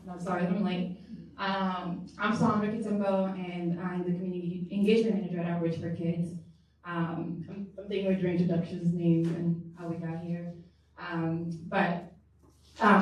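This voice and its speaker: A woman's voice: fairly low pitch, steady tone, moderate pace, a little mellow. A woman from Rwanda, a community engagement manager, talking conversationally on a panel with restarts. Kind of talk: panel introduction. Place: large room at a public forum. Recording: echoey room, distant mic.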